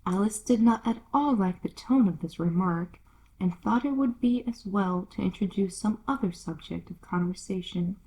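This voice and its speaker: Female storyteller for children's audiobook, unhurried pace, soft-edged mellow tone, slightly breathy. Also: medium pitch, rough recording. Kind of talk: scripted children's story narration.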